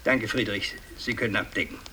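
An actor playing a pompous, fussy older aristocratic patriarch in a radio drama, speaking in a halting, ceremonious, long-winded way. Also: male voice, on the low side, fairly even intonation, bright, forceful tone. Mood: solemn, fussy. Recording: distant, noisy 1960s radio recording.

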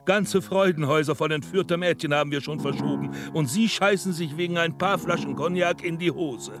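Evil genius doctor in a radio play, highish voice, bossy and full of contempt, loud music behind. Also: male voice, brisk, vocal fry. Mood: scornful, angry. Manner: commanding, contemptuous. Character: domineering, megalomaniac criminal mastermind doctor. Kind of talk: radio drama acting.

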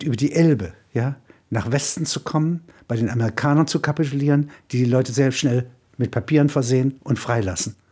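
A man's voice: medium pitch, a little mellow, husky. An older man, an author, speaking reflectively and discursively in an explanatory interview-style commentary. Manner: discursive, explanatory, punctuated with short confirming tags.

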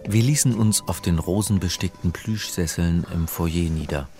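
An actor playing a harried, dry scholar in a radio drama, speaking in dialogue and first-person narration. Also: male voice, low-pitched, normal speed, mellow, faint music behind, lightly acted. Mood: wry narration. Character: harried, dull scholar son on the run.

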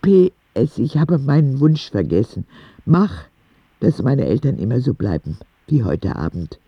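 A childlike, frank letter-writer in a radio drama. His narration is matter-of-fact but very animated in pitch, with plenty of creak. It sounds slightly muffled. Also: unhurried, dry recording, full character voice. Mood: frank, content. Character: frank, childlike ten-year-old boy writing letters to God.

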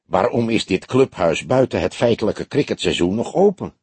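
A man's voice: on the low side, expressive, moderate pace, darkish tone, breathy edge, no room sound. A man voicing a young male detective sidekick, restless and questioning, in an audiobook. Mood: curious.

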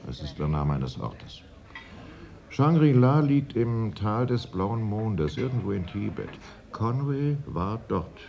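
A worldly travel writer in a radio play, his low voice edged with creak. He tells his mystery deliberately, swinging widely in pitch and leaning on pauses for drama. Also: very animated, mellow, muffled recording, full character voice. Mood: grave, mysterious. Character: travel writer, old school friend, recounting a mystery. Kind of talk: 1980s German radio play dialogue.

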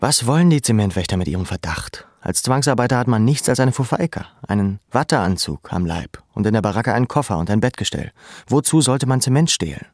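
A man reading scripted literary prose as a narrator, in a measured, deliberate, sombre manner. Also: lowish voice, lively intonation, darkish tone, dry recording, studio quality.

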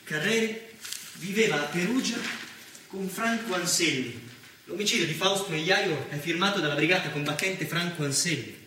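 An actor playing a grave, factual narrator who sets out investigation details in formal, deliberate stage narration. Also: male voice, sits high, sharp tone. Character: male narrator reporting police investigation facts, grave and factual. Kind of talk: scripted documentary-like stage narration. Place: theatre stage, recorded from a distance. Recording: roomy distant stage recording, faint noise.

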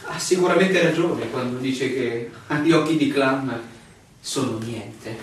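A man's voice: medium pitch, measured pace, natural voice. Actor as a stubborn young man, very echoey. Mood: insistent, reasoning. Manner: reasoning, insistent, polite but defiant. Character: stubborn, argumentative young male newcomer, somewhat arrogant. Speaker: stage actor in a radio play. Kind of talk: theatrical radio drama dialogue. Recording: very echoey, distant, noisy ambience.

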